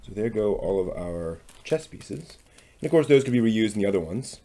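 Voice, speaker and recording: A man casually thinking aloud as he works on a craft, muttering to himself in short, unhurried phrases. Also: lowish voice, lively intonation, dark tone. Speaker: maker hosting a craft workshop video.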